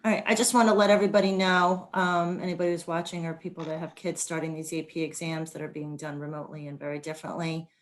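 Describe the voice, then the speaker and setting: Very low, flat-voiced woman. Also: fast talker, few pauses. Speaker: committee member and parent. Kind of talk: casual update at an online meeting.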